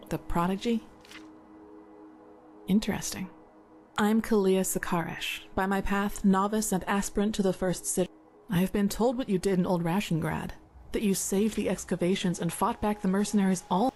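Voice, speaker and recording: A woman's voice: medium pitch, a little mellow, close to an everyday voice. A woman acting a courteous, curious young novice of a holy order in a fantasy video game. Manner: polite, inquisitive, earnest. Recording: game music behind the voice.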